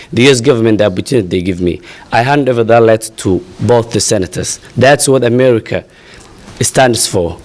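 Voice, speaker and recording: A young man from Somalia, a refugee advocate, gives a halting, earnest statement at a press conference, full of pauses and fillers. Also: lowish voice. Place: outdoor press event.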